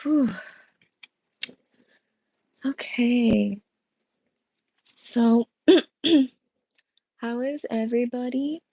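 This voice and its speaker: A woman's voice: fairly high pitch, steady tone, very slow, creaky, long pauses, dark tone, husky, no room sound, muffled recording. A young woman chatting casually as a tarot reader, her voice worn and strained, rambling with many fillers and restarts. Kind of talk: casual livestream chat. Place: home room with coffee machine. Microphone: earbud headset mic.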